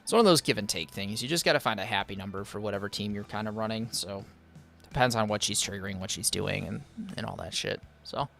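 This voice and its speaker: A man's voice: on the low side, quick pace, creaky, fairly bright tone, soft background music. A man live-streaming a game, giving casual advice and answering chat in a rambling way full of fillers and restarts. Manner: answers viewer chat between gameplay. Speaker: streamer reviewing viewer game accounts.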